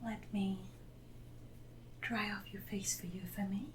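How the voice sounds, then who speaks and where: A woman playing a devoted, deferential Regency lady's maid in a soft-spoken, often whispered ASMR roleplay, with formal, gentle speech. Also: mid-range voice, level tone, measured pace, background noise, natural voice. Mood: attentive, soothing.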